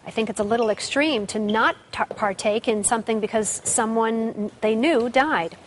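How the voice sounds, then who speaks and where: A woman's voice: a little high, slight background noise. A woman co-hosting a local TV morning show, chatting casually and reading viewer comments aloud. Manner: upbeat, quick, reads viewer comments aloud. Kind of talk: casual studio chat. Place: TV studio.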